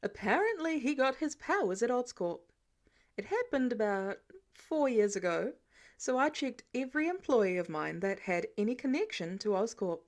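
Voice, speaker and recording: A woman's voice: fairly high pitch, sing-song, normal speed. A woman voicing a confident, nosy male billionaire boss, nonchalant and probing, in an audiobook. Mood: smug, nonchalant. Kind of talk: audiobook character dialogue.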